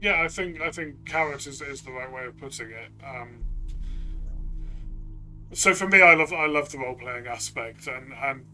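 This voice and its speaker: A man's voice: mid-range voice, normal speed, pushed and bright, soft background music. A man giving a player's view in a conversational tabletop game review, reflective and often restarting his phrases.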